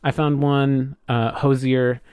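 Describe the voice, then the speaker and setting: A man co-hosting a podcast, telling a personal anecdote in casual, halting speech with repeated words and an enthusiastic manner. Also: medium pitch, level tone, a little mellow, clean tone, dry recording, clean studio sound. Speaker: podcast co-host.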